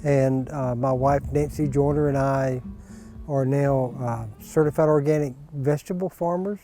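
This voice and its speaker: An older man from North Carolina, an organic vegetable farmer, talking slowly with many pauses in a documentary interview. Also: medium pitch, fairly even intonation, dark tone, faint music behind. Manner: slow, unhurried, with many pauses and fillers.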